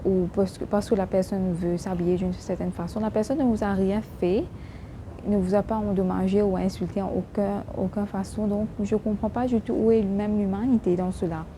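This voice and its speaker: A woman vlogger speaking French with a strong non-native accent as an English speaker. She talks halting and earnest, restarting her sentences often. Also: medium pitch, steady tone, warm tone, a little hiss or hum. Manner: halting, many restarts and fillers. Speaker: YouTube vlogger. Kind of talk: casual talk to camera.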